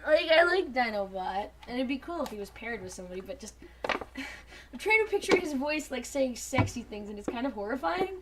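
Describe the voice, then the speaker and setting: A woman with a high, very lively voice comments casually and playfully, with a sarcastic edge. It is a home recording with faint noise and clicks. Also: fairly quick. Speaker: reviewer on a group show. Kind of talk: joking casual commentary on fan fiction.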